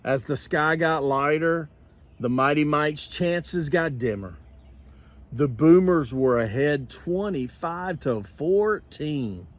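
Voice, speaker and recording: An older man reading a children's storybook aloud with expressive, deliberate storytelling. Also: on the high side. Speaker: grandparent storyteller. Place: outdoors.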